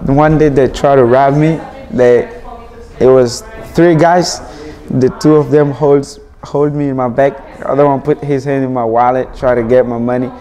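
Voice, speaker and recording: A man's voice: mid-range voice, fairly even intonation, normal speed, dark tone. A teenage man from Burkina Faso, an immigrant student, answering interview questions earnestly, often restarting his sentences.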